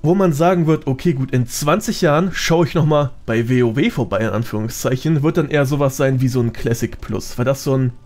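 A man's voice: medium pitch, a little fast, hardly any pauses, a little mellow, soft background music. A man giving his opinions as a guest in a casual podcast chat. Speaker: podcast guest, gaming content creator.

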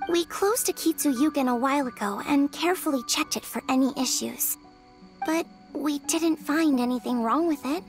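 High, earnest little yokai. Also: female voice, normal speed, faint music behind. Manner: earnest, explanatory. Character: small, earnest, polite young yokai. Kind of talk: video game voice acting.

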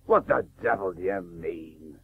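An actor playing an indignant, imperious veteran gentleman cricketer in a radio play, brusque and blustering. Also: sits low, unhurried, vocal fry. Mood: defensive. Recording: tinny, noisy 1980s radio broadcast.

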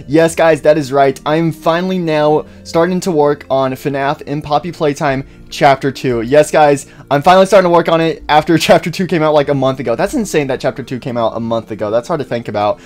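A man's voice: a little high, a little fast, few pauses, darkish tone. A man hosting a casual game mod review video. He talks upbeat and rambling, full of "uh" and "so, yeah" fillers. A little music hums faintly behind him. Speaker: YouTuber host.

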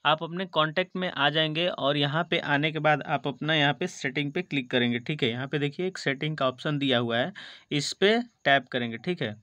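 A man's voice: fairly high pitch, brisk, sharp tone, no room sound. A man with an Indian accent hosting a tutorial, explaining step by step and often checking that viewers follow. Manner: repeatedly seeks confirmation, addresses viewers as friends. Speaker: tutorial video host. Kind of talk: step-by-step phone tutorial narration.

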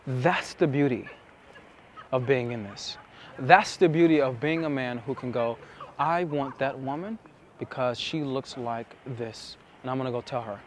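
A young man explaining to camera as a dating coach, with emphatic, deliberate phrasing and pauses, recorded outside on a city street. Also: mid-range voice. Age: young adult. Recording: outdoor city street with traffic noise.

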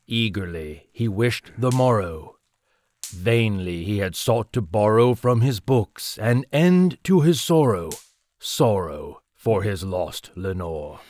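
An actor playing a solemn, brooding narrator of a gothic poem in an audio drama, measured and theatrical. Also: male voice, lowish voice, expressive, a little mellow. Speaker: story narrator.

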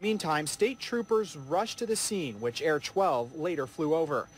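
A man's voice: fairly high pitch, a little slow, very noisy. A man as a field reporter, delivering a live, scripted news report from an outdoor location.

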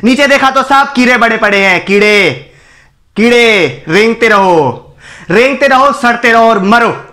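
A condemned man, acted out by a male performer, delivers a defiant film monologue to an apathetic crowd. His voice is high for a man and forceful, with a sharp edge. He speaks theatrically and with bitter scorn, leaning on repeated phrases and dramatic pauses. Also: lively intonation, heavily acted. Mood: scornful, disgusted.

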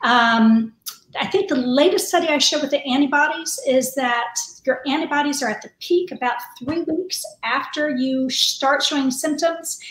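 High-voiced chatty woman on a video call. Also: moderate pace, a bit bright. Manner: chatty, laughs things off. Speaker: co-host. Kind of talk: casual video chat. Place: home room with tiled wall. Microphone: video call webcam. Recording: echoey home room.

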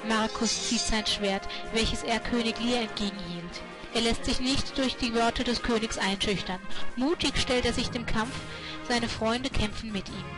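A woman's voice: a little high, unhurried, low-quality recording. A woman narrator reading scripted narration for an audio drama. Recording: loud music under the voice.

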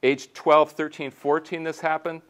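A man's voice: fairly high pitch, a little slow, clear, resonant tone. A man in his sixties giving a conference talk from a lecture room on a lapel mic, telling stories with many restarts and fillers. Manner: animated storytelling with many restarts and fillers, gestures while talking. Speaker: sociology researcher on a conference panel. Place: lecture room in front of a projection screen.

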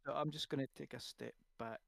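A man's voice: mid-range voice, fairly even intonation, a little fast, creaky, fairly bright tone, no echo. A man talking casually as a player in an online tabletop game.

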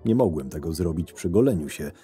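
Low, mellow, sardonic schemer voiced by a man. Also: animated, brisk. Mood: dry, brooding. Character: cynical, scheming male camp henchman recounting his days. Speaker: narrator and lead actor of an audio drama. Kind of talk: first-person scripted narration. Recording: music under the voice. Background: background music.

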